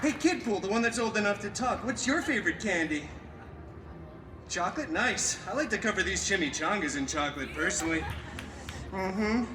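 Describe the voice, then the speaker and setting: Man playing a high-pitched, hammy wisecracking antihero storyteller. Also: expressive, background noise. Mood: playful banter. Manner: hammy, sarcastic, swooping between dramatic delivery and quick asides. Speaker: theme park character performer telling a story to children. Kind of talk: theatrical live comic storytelling with crowd banter. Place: live theme park stage show. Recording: echoey live show, loud music behind. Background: loud music.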